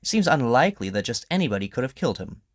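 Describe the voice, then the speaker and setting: A man playing a brisk, confident detective in an audio drama, with a probing, theatrical, declarative manner. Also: medium pitch, sing-song, no room sound, studio quality, voice pushed up, natural voice. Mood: confident, expository. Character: brisk, confident male detective, incisive and commanding.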